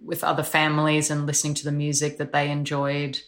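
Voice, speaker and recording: A woman's voice: low voice, monotone, brisk, a bit bright. A woman, a drummer talking thoughtfully in an interview. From Australia. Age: 30s. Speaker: drummer, guest. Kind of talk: relaxed reflective conversation.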